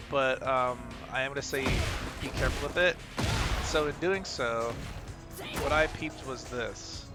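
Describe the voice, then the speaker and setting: A man giving casual, unscripted coaching commentary on a game replay, thinking aloud in an informal, hesitant way and repeating himself. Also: mid-range voice. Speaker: gaming video creator giving match analysis. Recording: game music and battle sounds behind voice. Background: loud fighting game music and combat effects.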